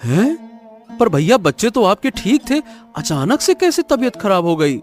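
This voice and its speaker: An actor playing a trusting, stubborn poor farmer, remonstrating colloquially in a cartoon story. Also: male voice, high-pitched, sing-song, a little slow, faint music behind. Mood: puzzled.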